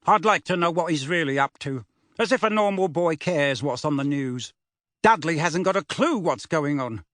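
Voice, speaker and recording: A male reader acts out a scornful middle-aged uncle in an audiobook story. He grumbles scathingly and suspiciously, pitched a little high. The sound is close and dry, with no room on it. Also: lively intonation, normal speed, fairly bright tone, dry recording. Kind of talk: audiobook character voice.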